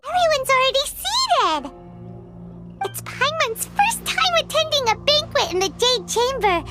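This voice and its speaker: A woman's voice: extremely high pitch, big pitch swings, measured pace, full character voice. A woman voicing a tiny, bubbly floating companion in a game who talks about herself in the third person. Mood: excited. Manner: bubbly, exclamatory, refers to herself by name. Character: tiny chirpy floating companion girl, excitable. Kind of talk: English game voice acting. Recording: game audio under loud music.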